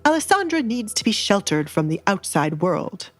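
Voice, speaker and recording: A nervous baron in a romantic audio drama, voiced by a woman. He sounds hesitant and placating, trying to dodge, with soft music behind him. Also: medium pitch, big pitch swings, normal speed, close to an everyday voice. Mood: hesitant, evasive. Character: nervous, evasive baron, a protective-sounding father.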